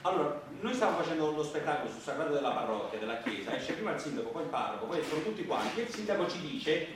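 An actor playing an excitable, naive and stubborn male down-and-out street performer, blunt and repetitive, in theatrical comic style. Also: a little high, racing. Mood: agitated explaining. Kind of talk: theatrical comic stage acting. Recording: distant echoey stage recording, audience noise.

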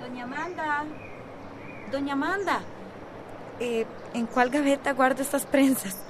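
An actress playing an eager, polite, naive young salon assistant in a radio soap. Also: female voice, high voice, fairly even intonation, measured pace, noisy background.

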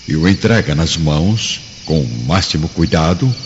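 A Brazilian man narrates a radio drama solemnly in a very low voice. His delivery is dramatic and animated, on a somewhat dull, lo-fi recording. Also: normal speed, background noise. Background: clear background music.

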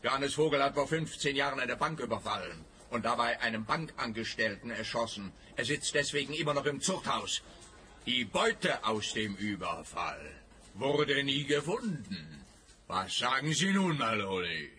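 An actor playing an exasperated, mocking police detective in a radio play, pointed and emphatic. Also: male voice, medium pitch, sing-song, moderate pace, sharp tone. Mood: insistent.

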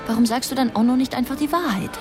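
A woman's voice: high voice, quick pace, over music, natural voice. A woman playing a resolute, earnest young pregnant woman in a naturalistic radio drama, speaking insistently. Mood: firm, urgent.

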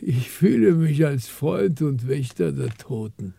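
Husky, creaky cemetery keeper. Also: male voice, a little high, lively intonation, takes it slowly, warm tone, dry recording, exaggerated voice. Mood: helpful. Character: talkative male cemetery keeper, devoted to the dead, uneasy. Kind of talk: German radio crime drama acting.

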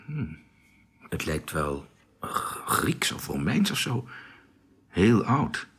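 A gentle smitten space traveller, low breathy voice, tender, hesitant, expressive, radio drama. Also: male voice, takes it slowly, exaggerated voice. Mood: curious, amused. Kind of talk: Dutch radio drama acting.

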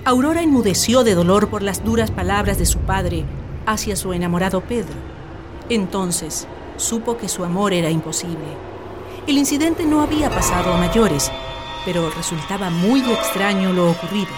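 A woman's voice: mid-range voice, expressive, moderate pace, loud music behind the voice. A woman reading scripted, dramatic narration for a radio play.

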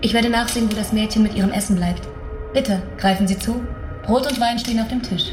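An actress playing the composed, evasive housekeeper of a remote manor in a radio drama. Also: female voice, medium pitch, brisk, echoey room, over music, close to an everyday voice. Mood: uncannily polite. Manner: polite, calm, evasive. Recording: radio play with score beneath.

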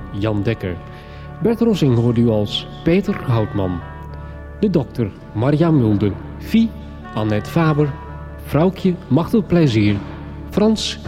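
A man reading scripted radio credits as an announcer, measured and formal. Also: medium pitch. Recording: music under the voice. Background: music.

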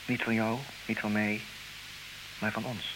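An actor playing an intelligent, possessive, ironic husband in an old radio play, speaking earnestly in theatrical diction. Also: male voice, fairly low pitch, level tone, measured pace, lightly acted. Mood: tentative, hopeful. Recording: hissy old radio recording, clear noise.

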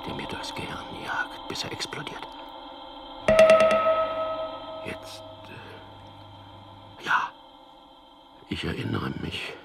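An actor playing a weary, evasive prisoner in a radio drama, with a husky, gravelly voice that often sinks toward a whisper. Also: male voice, a bass voice, heavily acted. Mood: dazed, weary. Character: weary, evasive man, interrogated prisoner recalling his past. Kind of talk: radio drama acting with inner monologue.

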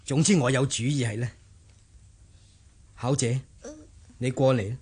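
An actor playing a remorseful gentleman of a wealthy household in a Cantonese radio drama, earnest and placating. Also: medium pitch, steady tone, unhurried, a little hiss or hum, natural voice. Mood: apologetic.

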